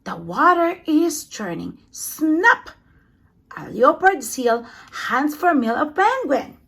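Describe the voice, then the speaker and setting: A woman reading a children's picture book aloud in an expressive storytelling manner, giving extra emphasis to sound words. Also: high voice, slow pace, vocal fry. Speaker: storybook reader.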